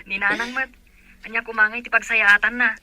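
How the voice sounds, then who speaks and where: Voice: mid-range voice, flat delivery, normal speed, bright, forceful tone, no room sound. An actor playing a grown child who confides in family and friends in an Ilocano audio drama. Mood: casual, frank.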